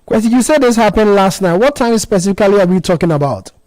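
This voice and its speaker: A man's voice: high-pitched, lively intonation, quick pace, darkish tone, no echo, low-quality recording. A male news anchor, putting direct questions to a reporter.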